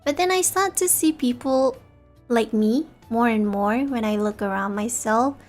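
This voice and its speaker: A woman in her thirties, a native English and Malay speaker, talks to her stream viewers in a slightly high voice, tired in the small hours. She rambles and rephrases her thoughts in loose, reflective chat. Faint music plays quietly beneath her. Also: measured pace. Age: 30s. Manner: rambling, reflective, self-correcting. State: tired late at night. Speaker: VTuber streamer. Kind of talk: late-night casual chat with viewers.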